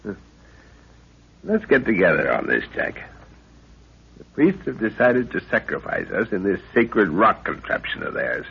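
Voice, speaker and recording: A man playing a level-headed middle-aged professor and expedition leader in a 1930s radio drama, speaking with deliberate authority on an old recording. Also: lowish voice, very animated, creaky, breathy edge, lo-fi sound, heavily acted. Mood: guarded, thoughtful.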